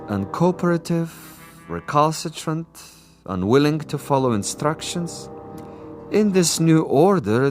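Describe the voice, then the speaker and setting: An actor playing a suave, sadistic Soviet commissar in a radio drama, speaking in a condescending, theatrical, deliberate way. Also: male voice, mid-range voice, a little mellow. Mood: coolly menacing.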